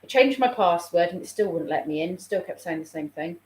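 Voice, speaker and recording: A woman's voice: lowish voice, lively intonation, brisk, darkish tone, a little hiss or hum. Chatty woman in an echoey room. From England. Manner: chatty, rambling, reading out viewer comments. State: slightly snuffly, sore throat coming. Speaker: childminder live-streaming to viewers. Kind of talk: casual live stream chat. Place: room at home. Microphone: phone. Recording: roomy home room echo.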